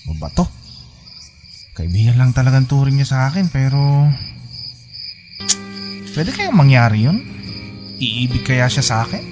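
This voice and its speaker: A lovelorn young Filipino man played by a radio actor speaks in a mid-pitched voice over clear background music. He is earnest and colloquial, pining for his best friend in a sentimental radio drama. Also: lightly acted. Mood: dejected.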